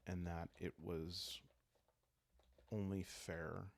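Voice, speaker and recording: A man speaking softly and calmly in ASMR style, improvising a story aloud as he hosts a solo roleplaying game, thinking out loud with long pauses. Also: low-pitched, creaky, dry recording. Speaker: host playing a solo journaling roleplaying game.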